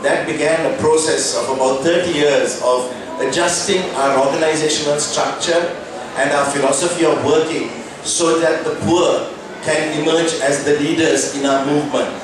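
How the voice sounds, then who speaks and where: A man's voice: on the high side, steady tone, normal speed. A man in his fifties from Sri Lanka, speaking thoughtfully with hesitant restarts at a press briefing. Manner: thoughtful, hesitant, repeating starts. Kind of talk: reflective talk with light laughs. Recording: echoey room, mic at a distance, clear background noise.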